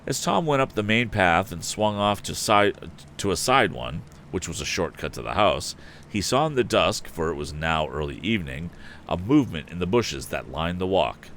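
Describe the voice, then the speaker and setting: Male story reader, low voice, expressive and varied delivery, casual host manner, slight background noise. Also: moderate pace. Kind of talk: expressive book narration with casual asides. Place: indoor live stream.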